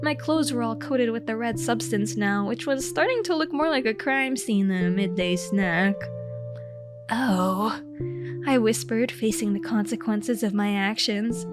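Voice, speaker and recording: High-pitched woman, theatrical comic storyteller. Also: moderate pace, hardly any pauses, music in the background. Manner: theatrical, mock-heroic. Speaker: storyteller narrating own comic diary. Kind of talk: dramatic comedic scripted narration.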